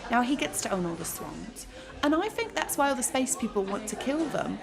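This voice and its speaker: Actress as breezy, misinformed young woman for satirical audio drama; higher, creaky voice, music underneath. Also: very animated, fairly quick. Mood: confidently explaining. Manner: confidently misinformed, breezy, casual. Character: gullible, opinionated young woman spouting muddled facts.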